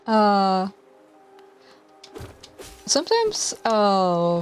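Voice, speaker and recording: Soft-spoken, hesitant young woman streamer. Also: mid-range voice. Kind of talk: casual live-stream chat while gaming. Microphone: lapel mic clipped to collar. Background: faint game music.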